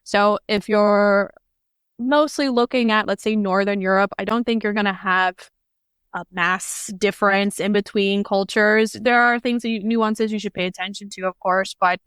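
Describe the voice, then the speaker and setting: A woman's voice: mid-range voice, steady tone, moderate pace, fairly bright tone, smooth, dry recording, clean studio sound. An American woman, around her thirties, answering interview questions about her marketing work in a thoughtful, explaining way. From United States. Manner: thoughtful, explanatory, uses "like" and "if you will". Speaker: guest, head of product marketing.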